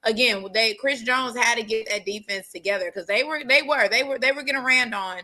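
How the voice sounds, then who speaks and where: A woman's voice: fairly high pitch, a little fast, bright, forceful tone, low-quality recording. A woman co-hosting a sports talk show, making her points with emphatic repetition in casual chat. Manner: emphatic, repeats points for stress.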